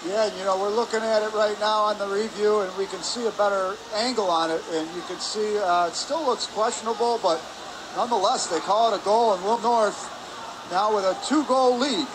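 A man giving conversational, slightly halting colour commentary at a live hockey game. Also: sits high. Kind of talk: live sports analysis. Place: spectator area of an indoor ice rink. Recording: noisy arena hum behind the voice.

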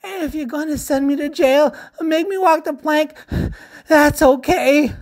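A woman doing a squeaky, panicky little cartoon cat boy. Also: slow pace, vocal fry, exaggerated voice. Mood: tearful. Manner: panicky, wailing, overdramatic. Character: anxious young boy cat, dramatic worrier. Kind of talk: storybook read-aloud.

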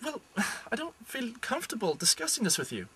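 A man's voice: very high voice, huge pitch swings, fairly quick, pushed and bright, slightly rough, no room sound, exaggerated voice. A young man playing a freshly dead actor with an Irish accent, earnest and easily flustered. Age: young adult. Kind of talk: student radio drama acting. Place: large private house.

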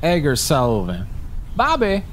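An actor playing a menacing, smarmy male drifter in an audio drama, with a slow drawl. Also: on the high side, huge pitch swings, slight background noise. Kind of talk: radio horror drama.

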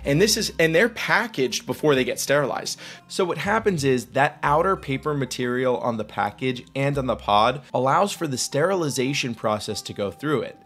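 A man in his thirties hosting a vlog, explaining a device in an upbeat, lightly joking way, recorded in a small studio room on a boom-arm mic. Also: animated, normal speed, fairly bright tone, faint music behind. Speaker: vlog host. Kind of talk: explainer narration.

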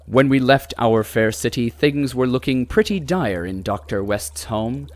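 An actor playing a grandiose old-time radio serial announcer, narrating in a theatrical, portentous way. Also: male voice, mid-range voice, lively intonation, measured pace, lightly acted. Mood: grandly portentous. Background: music.

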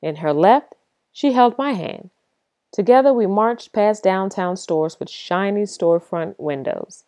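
A woman reading a children's picture book aloud as expressive storybook narration, with dramatic pauses. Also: medium pitch, no room sound.

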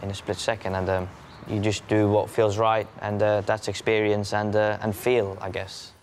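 A young man from Norway, a jockey, giving relaxed, measured interview answers. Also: on the low side, slight background noise.

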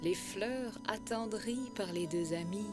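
A woman narrating an animated short as a poetic storybook tale, in a solemn, lyrical and measured way. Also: mid-range voice. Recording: music under the voice. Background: music.

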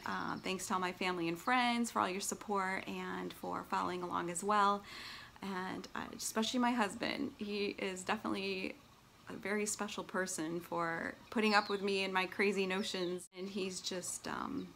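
A woman's voice: medium pitch, creaky, fairly bright tone. Upbeat middle-aged woman, faint room noise. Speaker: vlogger and long-distance hiker. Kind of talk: casual announcement to camera. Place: small furnished living room at home, indoors.

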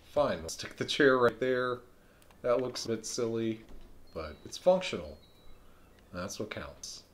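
A man streaming a video game live, thinking aloud in a relaxed way with frequent ums. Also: mid-range voice, unhurried, slight background noise. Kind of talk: live let's-play commentary.

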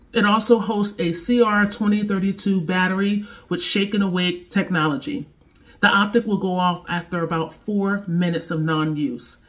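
A woman in her thirties talks casually and directly to her viewers, her voice stuffy from a cold. She sounds relaxed and conversational, recorded in a home kitchen from a camera a little way off. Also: mid-range voice. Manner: chatty, speaks directly to viewers. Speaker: firearms gear reviewer. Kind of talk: casual product review.

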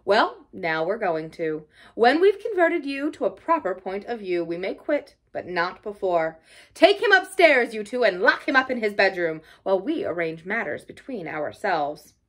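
A woman's voice: mid-range voice, big pitch swings, moderate pace, fairly bright tone. A woman voicing a grave, stern but kindly male badger in a storybook, with a formal, oratorical manner. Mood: firm.

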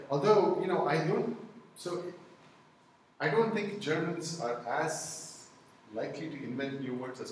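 A middle-aged man whose native tongue is Tamil lectures in a relaxed, chatty way, peppering his points with "you know" and "okay?". His voice sits a bit high. He is captured from a distance in a booming, echoey lecture room with faint noise. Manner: conversational, many "you know" and "okay?" check-ins. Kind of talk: university lecture with audience discussion. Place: lecture hall with blackboard and projector. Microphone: far room camera. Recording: echoey lecture hall, picked up from afar.

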